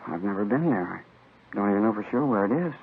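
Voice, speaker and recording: A man's voice: on the low side, expressive, fast, gravelly, slightly rough, exaggerated voice. An actor playing an evasive young man of twenty-five being questioned as a suspect in an old radio crime drama, politely defensive. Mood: defensive, subdued. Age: young adult. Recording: muffled vintage radio recording with hiss.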